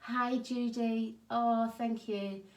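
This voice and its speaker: A woman with a croaky, hoarse voice hosts a craft live stream, chatting and greeting viewers by name. Her voice sits fairly high for a woman, and the home craft room around her sounds echoey. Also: flat delivery, takes it slowly, a little mellow. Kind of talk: casual live-stream chat.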